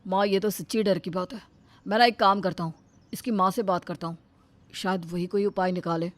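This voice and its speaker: A woman voicing a flustered young husband who talks fast and placating. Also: steady tone, no room sound, lightly acted. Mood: worried. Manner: quick, low, placating.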